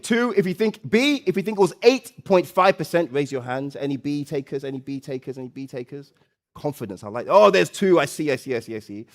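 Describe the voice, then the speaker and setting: Loud, high-voiced man hosting a live event. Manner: loud, playful, coaxing the room, repeats phrases. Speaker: event host and MC. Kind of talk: live stage hosting with audience quiz. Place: live summit stage before an audience.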